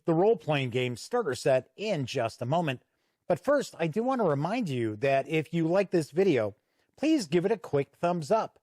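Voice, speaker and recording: A man's voice: a little high, a little mellow, dry recording, clean studio sound. A man hosting a tabletop gaming channel, giving a chatty, folksy, unscripted product review to camera. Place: indoor home studio.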